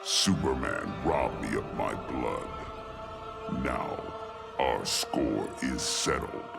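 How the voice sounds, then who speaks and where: A man playing a cold, vengeful alien tyrant god with a gravelly voice in a video game villain monologue. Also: a bass voice, slow pace, music in the background, full character voice. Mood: cold, imperious.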